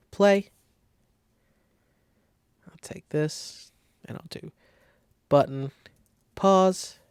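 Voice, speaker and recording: A man with a noticeably creaky, gravelly voice walks through a design tutorial in an informal way. He thinks aloud as he works, in an easy conversational style. The sound is close and dry, with very little room around it. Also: on the high side, a little mellow, no room sound. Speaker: design software tutorial host. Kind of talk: casual screen-recorded tutorial.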